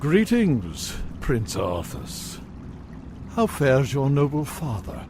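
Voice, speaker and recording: An actor playing a dignified, disdainful archmage in a video game, speaking formally with a cutting, measured delivery. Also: male voice, mid-range voice, huge pitch swings, full character voice. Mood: stern. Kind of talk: scripted video game cutscene acting. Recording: clear ambient noise behind voice.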